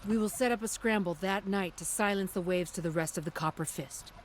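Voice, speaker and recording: Woman as an assured tech specialist, middling pitch. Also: brisk, slight background noise, natural voice. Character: assured, shrewd technical specialist ally. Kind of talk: video game dramatic dialogue.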